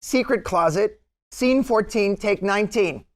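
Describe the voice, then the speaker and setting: A woman's voice: mid-range voice, unhurried, a little hiss or hum, lightly acted. A woman playing a timid, apologetic young amateur clapperboard boy on a film set in a comedy. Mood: dutiful, announcing.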